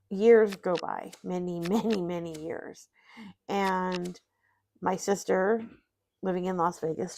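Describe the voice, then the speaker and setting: A woman's voice: lowish voice, slight background noise. A middle-aged woman, sniffly and tired after vaccines, chatting casually and rambling about her day while playing solitaire. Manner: rambling, self-deprecating, talks to chat. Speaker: streamer.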